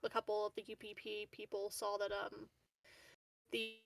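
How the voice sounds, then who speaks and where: A woman's voice: mid-range voice, monotone, moderate pace, gravelly, a bit bright, no echo. A woman playing a tabletop roleplaying game, thinking aloud in loose, casual, tentative conversation with the group.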